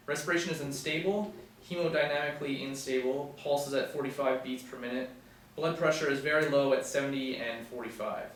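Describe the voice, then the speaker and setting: A businesslike male paramedic read by a man at a table read, with a mid-pitched voice. It is recorded from a distance in a very echoey room. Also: slight background noise, close to an everyday voice. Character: businesslike paramedic rattling off patient vitals. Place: small indoor room.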